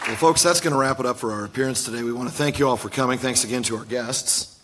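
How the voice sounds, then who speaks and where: Man, podcast co-host, rapid brisk delivery, promotional, faint background noise. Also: medium pitch. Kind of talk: live podcast wrap-up. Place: conference room before a live audience.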